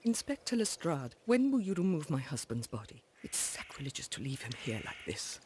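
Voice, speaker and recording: A woman's voice: sits low, big pitch swings, a little fast, a little mellow, a little hiss or hum. A woman playing a respectable, sorrowful middle-aged widow in a video game, speaking formally and hesitantly. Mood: indignant grief. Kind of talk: scripted game dialogue.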